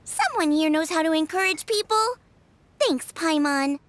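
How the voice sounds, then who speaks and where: A woman's voice: very high voice, animated, a little slow, a bit bright, slight background noise, full character voice. A woman voicing a bubbly, friendly teenage girl cook in a video game, earnest and chirpy. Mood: bright, bashful. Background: music.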